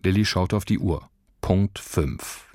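A man's voice: low voice, takes it slowly, a little mellow, dry recording, studio quality. A man reading scripted narration for a children's story. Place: dry studio.

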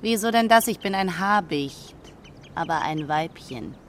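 A woman's voice: lowish voice, animated, measured pace, slight background noise. A woman playing a proud, self-assured female hawk who instructs in a children's radio play. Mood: indignant, proud. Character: proud female hawk, bigger than the males, matter-of-fact.

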